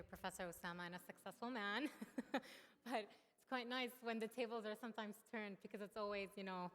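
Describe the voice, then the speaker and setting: A woman moderating a conference panel in a friendly, chatty way. Her voice sits at a mid pitch. Long hall reverb follows her words. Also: a bit bright.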